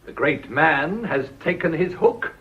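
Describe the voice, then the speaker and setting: A man's voice: on the high side, animated, a little slow, slight background noise. An actor with an English accent playing a ruthless, cynical Edwardian businessman in a radio drama, blunt and sardonic. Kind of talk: period radio drama acting. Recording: dull, muffled old radio recording.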